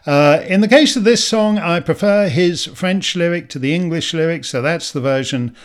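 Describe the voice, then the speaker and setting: Slightly hoarse man, middle-aged, soft and reflective, sentimental host, fairly high voice. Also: moderate pace. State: losing his voice, slightly hoarse. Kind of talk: spoken introduction to a weekly song feature.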